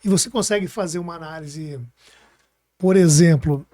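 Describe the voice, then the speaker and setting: A man's voice: on the high side, normal speed, warm tone, no echo. A man with a Brazilian Portuguese accent chatting informally as a podcast host, thinking aloud as he goes. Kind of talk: casual podcast conversation.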